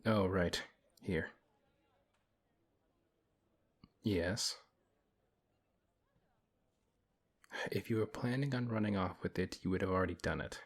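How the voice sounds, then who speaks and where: A man playing a big, principled, gently protective paladin in a fantasy audio roleplay, speaking in a measured, earnest way with hesitant pauses and a husky voice. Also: sits low. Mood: calm, wary. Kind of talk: fantasy audio roleplay, one-sided intimate conversation.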